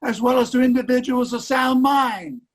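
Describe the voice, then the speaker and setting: Woman as formal advocate, fairly high voice, oratorical courtroom delivery in a staged reading. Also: normal speed.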